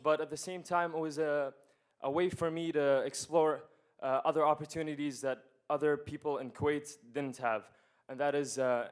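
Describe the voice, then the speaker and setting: Young guy in his twenties presenting at a conference, smooth clear voice, lots of ums and restarts. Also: mid-range voice. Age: 20s. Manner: casual, hesitant with many fillers and restarts. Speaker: student presenter. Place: conference hall.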